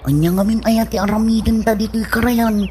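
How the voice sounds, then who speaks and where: A woman's voice: fairly high pitch, level tone, a little fast, warm tone, slight background noise, lightly acted. A woman with a Filipino accent playing a bold, supportive young tomboy in a comedy radio drama, given to exclamations. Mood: playful.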